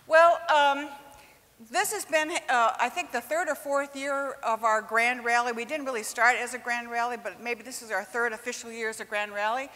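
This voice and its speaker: A woman's voice: on the high side, fairly even intonation, moderate pace, pushed and bright, some chatter behind. A woman in her sixties hosting a live event on stage, upbeat and chatty, speaking into a handheld mic. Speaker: host. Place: large indoor stage. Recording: stage mic in a big hall.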